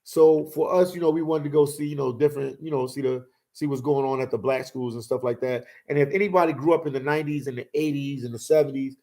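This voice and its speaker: A man's voice: mid-range voice, fairly even intonation, fast, hardly any pauses, mellow. Easygoing guy in his forties, chatty livestream host, lots of "man" and "you know", rambling stories. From Philadelphia. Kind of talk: casual livestream chat.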